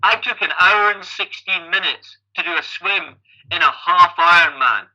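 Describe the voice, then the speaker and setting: A man's voice: high-pitched, moderate pace, sharp tone, rough recording. A man in his fifties with a Scottish accent, a podcast guest telling his life story conversationally, often restarting his phrases. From Scotland. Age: 50s. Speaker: podcast guest, amateur endurance athlete.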